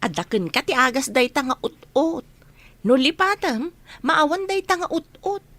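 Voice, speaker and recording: A woman's voice: high voice, very animated, normal speed, fairly bright tone, no echo. A woman acting in a radio drama as an outspoken, fretful village woman who nags and advises. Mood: teasing, advising.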